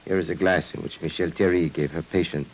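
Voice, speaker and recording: Dutiful French police deputy, male actor: very low voice, deferential, brisk delivery, vintage radio drama. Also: steady tone, natural voice, poor audio. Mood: hushed, reporting. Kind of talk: 1950s radio crime drama acting. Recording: faintly hissy old radio recording.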